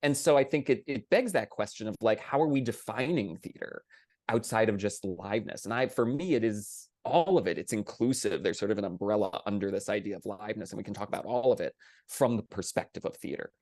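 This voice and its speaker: A man speaking earnestly as a panelist in a thoughtful discussion, sometimes halting as he builds his point. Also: lowish voice, animated, darkish tone, no echo, studio quality.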